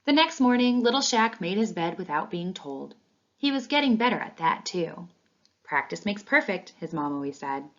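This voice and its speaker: Woman, children's read-aloud, expressive storytelling, animated delivery, slightly dull lo-fi audio. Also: on the low side, moderate pace. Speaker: read-along reader. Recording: dull, lo-fi sound.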